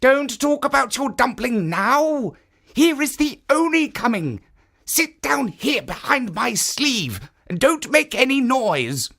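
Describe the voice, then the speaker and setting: A kindly stone monk statue from a storybook, voiced by a man. The voice sits high and speaks slowly. It is polite and careful, with a cautioning tone and lilting pitch. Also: big pitch swings, vocal fry, pushed and bright, exaggerated voice. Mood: urgent.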